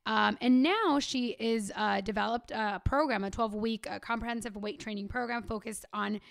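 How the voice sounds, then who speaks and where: A woman co-hosting a casual podcast chat, upbeat and quick to laugh, introducing a guest. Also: on the high side, gravelly. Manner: upbeat, laughs often, uses fillers. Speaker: podcast co-host.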